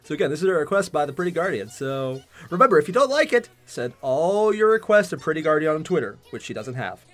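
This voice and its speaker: Animated, excitable young man bantering. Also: a little high, quick pace, faint music behind. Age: young adult. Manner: joking, excitable, exclaiming. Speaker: reaction video host. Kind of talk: casual banter reacting to an animated parody. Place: small furnished room at home, on a couch.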